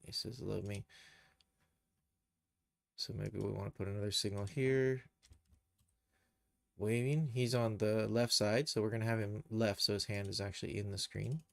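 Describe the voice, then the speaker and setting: A man with a fairly low, creaky voice walks through software in a casual live tutorial. He talks to himself as he works, hesitating along the way, and the sound is close and dry. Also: fairly even intonation, moderate pace, pauses often, no echo. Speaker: streamer, animation tutor.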